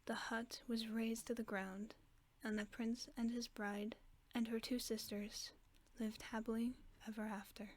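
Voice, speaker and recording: A woman voicing a gentle, caring werewolf friend, reading a bedtime story in a soft, often whispered voice for a sleep-aid roleplay. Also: a little high, little pitch movement. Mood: soothing. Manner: slow soothing bedtime reading.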